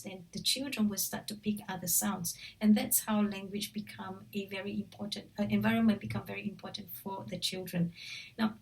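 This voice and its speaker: A woman's voice: medium pitch, distant mic, rough recording. A woman from Singapore with a Singaporean accent, coaching viewers on language learning in a chatty way, with frequent fillers and restarts. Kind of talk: casual talk to camera.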